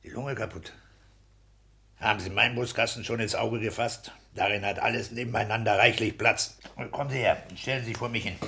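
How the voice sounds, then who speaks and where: A low, gravelly man's voice with a forceful edge. He is a boastful petty-bourgeois husband and official, self-satisfied and fond of giving orders, in a 1960s radio drama. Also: steady tone, brisk. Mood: bossy, blustering. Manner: blustering, commanding, self-satisfied. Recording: hissy 1960s radio play recording.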